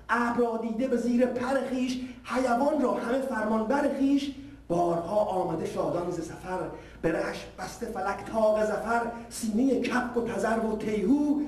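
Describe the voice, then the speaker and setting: A man reciting a narrative poem in a measured, declamatory way, verse by verse. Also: sits high, darkish tone. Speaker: poetry reciter. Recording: distant, echoey hall, faint noise.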